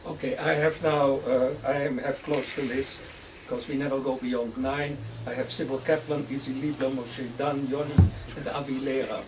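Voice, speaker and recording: A man moderating the session reads names off a list in a flat, businesslike voice. He is distant from the microphone, and clear noise sits under his voice. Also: medium pitch, moderate pace.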